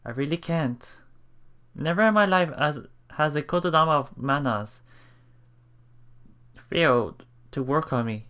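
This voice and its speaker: Bright-voiced man narrating dramatically, some noise. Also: a little high, measured pace, long pauses. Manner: expressive, dramatic, with murmured reactions. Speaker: Let's Play host. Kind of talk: reading visual novel aloud as dramatic first-person narration.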